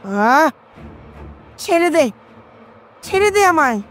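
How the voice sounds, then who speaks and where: A woman playing an agitated, scolding old grandmother in an audio horror story, with a shrill delivery. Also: big pitch swings, takes it slowly, fairly bright tone, faint music behind, exaggerated voice. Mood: choking, pleading.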